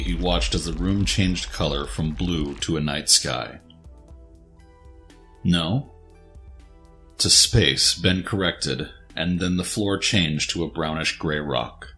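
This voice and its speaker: A man's voice: low-pitched, bright, forceful tone. A man narrating a scripted dramatic story as the narrator of an audio drama.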